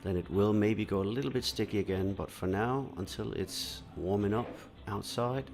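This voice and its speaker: A man from Denmark commenting live on a pool match, explaining shots in a relaxed way and answering viewer comments, with frequent fillers. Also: on the low side, moderate pace, gravelly, music in the background.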